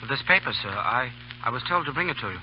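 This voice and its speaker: An actor in an old radio drama playing an eager, polite, naive young lieutenant, earnest and deferential. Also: male voice, medium pitch, animated, fast talker, slight background noise, natural voice. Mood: dutiful, tense. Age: young adult. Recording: muffled, humming old radio broadcast.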